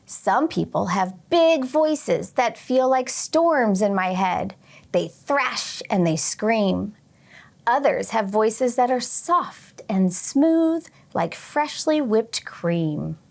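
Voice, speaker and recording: A woman teacher reading a picture book aloud to young children expressively, pausing for short teaching asides. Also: fairly high pitch, expressive, creaky. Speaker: teacher and read-aloud host.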